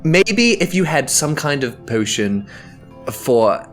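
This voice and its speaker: A man's voice: on the high side, big pitch swings, slow, faint music behind. A man with a New Zealand accent, improvising casually as a player in a tabletop game. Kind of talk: casual in-character improvised chat.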